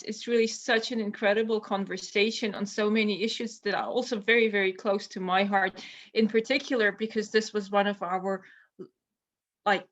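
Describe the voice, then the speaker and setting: A woman's voice: mid-range voice, fairly bright tone. A woman hosting a literary panel on a video call, earnest and thoughtful. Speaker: series host. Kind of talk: casual panel talk.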